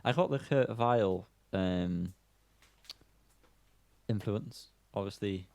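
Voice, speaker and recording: A man's voice: lowish voice, takes it slowly, gravelly, a little mellow. A man co-hosting a music podcast, giving informal opinions in casual chat with the odd swear word.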